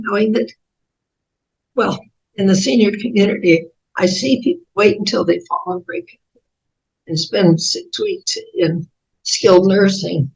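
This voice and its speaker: An 88-year-old American woman with a raspy voice, telling reflective personal stories as an interview guest in halting, thoughtful phrases. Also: medium pitch, dull audio. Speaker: interview guest, author and speaker.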